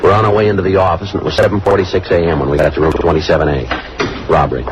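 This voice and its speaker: A low-pitched male police detective played by a radio actor speaks in clipped, matter-of-fact phrases. He is terse and businesslike, in vintage radio drama style. The old recording is muffled and clearly noisy. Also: steady tone, racing, close to an everyday voice. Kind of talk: 1940s-50s radio crime drama acting.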